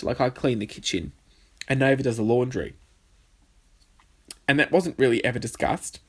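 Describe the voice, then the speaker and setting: A young man with a nasal voice, hosting a casual solo podcast with cheeky, sweary chatter. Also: slightly rough. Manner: chatty, cheeky and swearing, with lively pitch swings. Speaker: podcast host. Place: bedroom.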